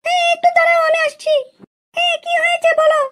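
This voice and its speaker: Whiny cartoon housewife: piercingly high, flat intonation, quick protesting delivery. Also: female voice. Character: squeaky, protesting village housewife. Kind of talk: amateur comedy cartoon voice. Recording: loud noise with clicks.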